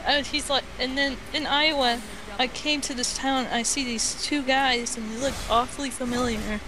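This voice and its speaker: A woman with a high voice tells a story off the cuff in a casual chat. The delivery is halting and rambling, slipping into quoted dialogue. It sounds like a noisy amateur voice chat. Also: steady tone. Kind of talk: casual improvised storytelling over a game chat.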